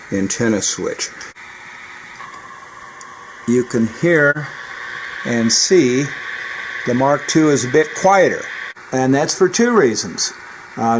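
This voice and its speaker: A man's voice: medium pitch, rough recording. A man narrating a hands-on technical demonstration of radio equipment, as a hobbyist would. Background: CB radio receiver noise.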